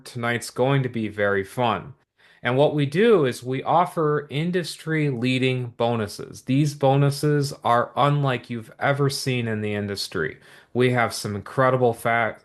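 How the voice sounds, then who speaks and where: A man pitches a business opportunity to an online audience with steady, eager energy. He keeps circling back on his points, tossing in quick asides and rhetorical questions. His voice sits at an ordinary middle pitch for a man. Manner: energetic, repetitive motivational patter with many asides. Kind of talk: upbeat sales pitch to viewers.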